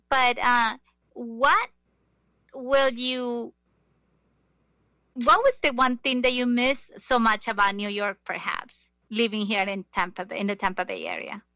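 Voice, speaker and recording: A woman's voice: on the high side, pushed and bright, dry recording, poor audio. A middle-aged woman hosting an interview in a chatty, self-deprecating way with many fillers. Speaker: interview host. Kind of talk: casual interview chat.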